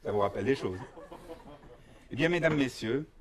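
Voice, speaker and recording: A man from Belgium performing a comic stage monologue in a mock-serious, deliberate way, with pauses for effect. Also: mid-range voice, animated. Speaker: stand-up performer.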